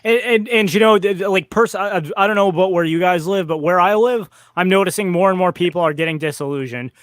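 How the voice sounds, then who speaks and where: A man's voice: high-pitched, fast, no room sound, studio quality. A man in a casual political podcast chat who stammers through restarts and talks wryly.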